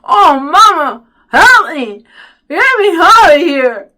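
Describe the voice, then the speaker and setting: A woman's voice: very high-pitched, sing-song, slow, bright, forceful tone, pitched above the performer's own voice, full character voice. Woman voicing a muffled, wailing, panicked boy. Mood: tearful pleading. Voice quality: muffled, mumbling as if mouth full. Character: older boy stuck by lips, mumbling, panicked crybaby.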